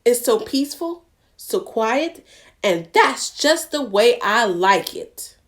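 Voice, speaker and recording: A fussy storybook duck gentleman , voiced by a woman reading aloud, very particular about his peace and quiet. He speaks slowly and emphatically, with big swings in pitch and a huffy, orderly air. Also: expressive. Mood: contented.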